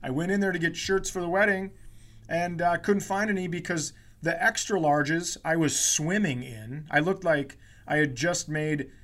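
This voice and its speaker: A man's voice: a little high, moderate pace, fairly bright tone. A man co-hosting a comedy podcast, telling self-deprecating stories in casual, joking banter. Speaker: podcast co-host.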